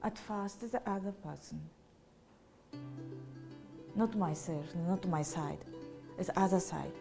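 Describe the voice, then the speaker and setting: A woman from Japan, a native Japanese speaker and language teacher, answering interview questions in halting, simple phrasing with a Japanese accent over guitar music. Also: on the low side, vocal fry.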